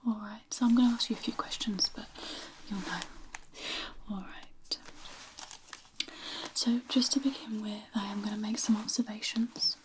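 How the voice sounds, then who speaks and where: A woman speaking in a soft, breathy near-whisper, playing a gentle, reassuring physiotherapist who hesitantly explains each step in an ASMR roleplay. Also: mid-range voice, fairly even intonation, moderate pace, pauses often, background noise.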